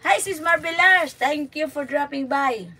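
A woman's voice: high voice, moderate pace, bright, forceful tone. A woman in her forties with a Filipino accent, chatting casually and giggling on a live stream while dyeing her hair, speaking into a phone held at arm's length in a small room. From Philippines. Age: 40s. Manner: giggly, chatty, mixing in Tagalog words. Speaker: channel host. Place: indoors in a small RV.

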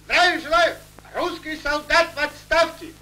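An actor playing a brave, hearty retired Russian soldier in a 1940s cartoon, with a plucky folksy delivery. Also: male voice, very high-pitched, fairly even intonation, unhurried, sharp tone, a little hiss or hum, full character voice, low-quality recording. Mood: bold, proud. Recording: old 1940s film soundtrack with music.

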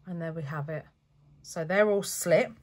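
A woman talks through a craft project at her desk in a relaxed, chatty way. Her voice sits a little low and sounds stuffy from a cold, and she often corrects herself mid-sentence. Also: unhurried, a little hiss or hum. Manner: chatty, self-correcting step-by-step explaining. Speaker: craft channel host. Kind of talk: relaxed craft tutorial narration. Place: home craft desk, indoors.

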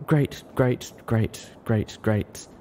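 A tender-hearted unicorn mare from a storybook, voiced by a man. The voice is soft and halting, lifted above the reader's own pitch. There is some noise on the recording.

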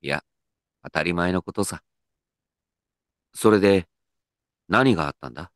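An actor playing an earnest, dignified company president in a comedic radio drama, with pompous, measured executive speech. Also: male voice, low voice, no echo, studio quality. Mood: earnest, supportive.

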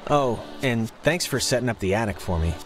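A man's voice: mid-range voice, lively intonation, a little fast, background noise, close to an everyday voice. A man with an American accent voicing a laid-back, earnest, somewhat awkward teenage boy in a video game, speaking casually and hesitantly. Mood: earnest, friendly. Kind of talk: naturalistic video game acting.

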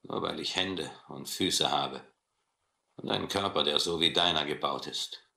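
An actor playing a brutal, proud, cynical sea captain who taunts and philosophizes in a German radio adventure play. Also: male voice, very deep voice, vocal fry, pushed and bright, reverberant, full character voice. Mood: mocking, taunting. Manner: mocking, slow deliberate taunting.

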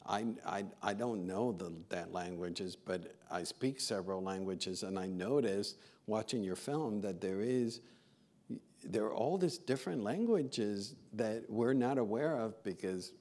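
A man moderating a festival Q&A, asking halting, searching questions into a handheld mic. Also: fairly low pitch, a little mellow. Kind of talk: interview questions. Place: festival stage in front of a backdrop.

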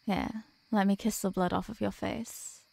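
A woman acting as a devoted, centuries-old vampire girlfriend in an intimate ASMR roleplay, speaking softly and formally in a caressing, protective way. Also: mid-range voice, flat delivery, vocal fry. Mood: tender, reassuring. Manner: soft, slow, formal, caressing delivery. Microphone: close microphone.

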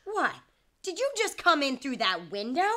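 A woman's voice: high voice, sing-song, moderate pace, heavy background noise. A woman playing a nosy, affectionate mother of a grown son in a crime drama, nagging in colloquial speech. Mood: fussing. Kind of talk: period crime drama acting.

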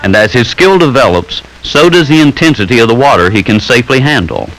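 A man reading scripted narration for a training film in a measured, earnest way. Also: mid-range voice, animated, fairly bright tone. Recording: noisy old 16mm film soundtrack.

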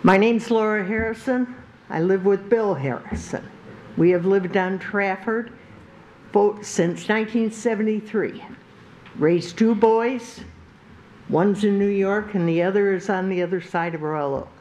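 An older woman addresses a zoning board from a podium, her big, carrying voice moving slowly with many pauses and restarts. The podium mic catches a faint hum from the council chamber. Her pitch sits in an ordinary middle range. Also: darkish tone, poor audio. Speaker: resident giving public comment. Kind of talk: public comment at a zoning meeting. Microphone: podium microphone.

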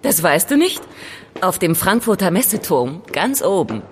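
A woman's voice: fairly low pitch, sing-song, normal speed, slight background noise. A woman playing an elegant, composed young informant in an audio drama, explaining things in a teasing, didactic way. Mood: welcoming, composed. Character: elegant, self-assured young woman, calm knowing informant. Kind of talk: German audio drama acting.

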